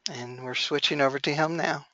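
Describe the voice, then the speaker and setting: A man's voice: on the high side, fairly even intonation, a little fast, sharp tone, dry recording. A man hosting a webinar, delivering announcements and introductions over an online call. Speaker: webinar host. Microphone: online web meeting microphone. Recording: dry, slightly dull online call audio.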